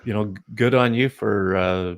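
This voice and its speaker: A man's voice: lowish voice, fairly even intonation, moderate pace. A man with a Canadian accent hosting a podcast, chatting casually in a friendly, rambling way with many restarts. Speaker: podcast host.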